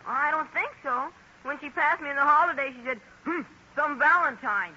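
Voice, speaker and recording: A man's voice: very high voice, normal speed, bright, forceful tone, muffled recording, a little hiss or hum, heavily acted. A young man acting an earnest, literal-minded schoolboy son in 1940s radio sitcom style, on an old broadcast recording.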